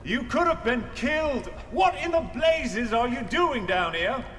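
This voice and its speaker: A man's voice: very high voice, expressive, a little slow, fairly bright tone, a little hiss or hum, full character voice. An actor playing a commanding but friendly male Victorian police inspector in an audio drama, formal and urgent. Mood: stern, exasperated. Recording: long reverb with faint music. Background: faint music.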